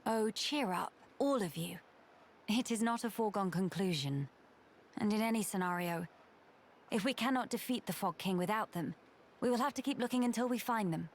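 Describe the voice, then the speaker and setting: A woman's voice: medium pitch, expressive, normal speed, a little hiss or hum, close to an everyday voice. A woman playing a dignified, resolute young noblewoman leader in a video game, speaking formally and with command. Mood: encouraging, composed.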